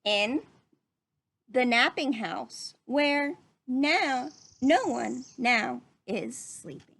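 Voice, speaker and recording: A woman's voice: high-pitched, very animated, very slow, vocal fry, a bit bright. A woman reading a picture book aloud to young children like a teacher, drawing out phrases and putting questions to her listeners.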